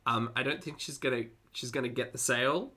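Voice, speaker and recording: Bright voice, medium pitch, casual live commentary, wry, chuckling, repeats phrases. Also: steady tone, a little fast, breathy edge. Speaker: streamer reacting to videos and chatting with viewers.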